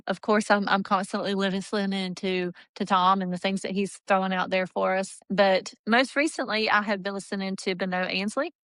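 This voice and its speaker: A woman's voice: fairly low pitch, fairly bright tone, no echo. A middle-aged woman who works as a real estate agent, giving earnest, explanatory interview answers with many restarts.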